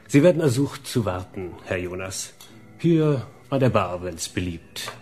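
Pompous, formal club attendant. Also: male voice, fairly low pitch, expressive, a little slow, darkish tone. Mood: coolly polite. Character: stiff, formal male club attendant, haughtily polite. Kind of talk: radio drama acting.

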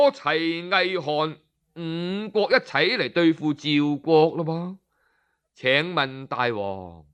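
A man telling a traditional historical tale in Cantonese, with a Cantonese accent, slowly and expressively acting out the characters' speeches. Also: high voice, clean tone. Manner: animated, slow, voices the characters' speeches. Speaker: storyteller. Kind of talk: Cantonese oral storytelling.

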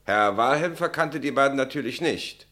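A man's voice: mid-range voice, expressive, normal speed, bright, forceful tone. A man playing a stern army captain, commanding and clipped, in a 1960s radio play. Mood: probing. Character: stern, brusque army captain interrogating a suspect. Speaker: actor.

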